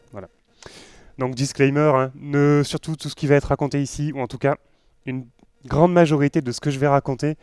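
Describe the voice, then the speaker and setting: A man's voice: medium pitch, a little mellow, smooth, no echo. A man with a southern French accent giving an informal tech talk to an audience, conversational and full of joking asides. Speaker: conference speaker, web developer.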